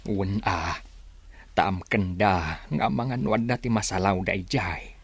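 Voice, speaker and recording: A man with an Ilocano accent playing a worried, husky-voiced villager in a radio drama. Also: low voice, takes it slowly, no room sound, heavily acted. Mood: troubled. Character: worried male villager lamenting hard times.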